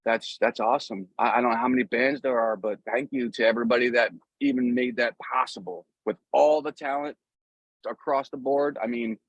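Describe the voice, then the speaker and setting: A man talks casually as a guest musician in an interview, earnest and loose with plenty of slang. His pitch sits in the middle, and the recording is dull and dry, with little room sound. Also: fairly even intonation, fairly quick, breathy edge, no echo.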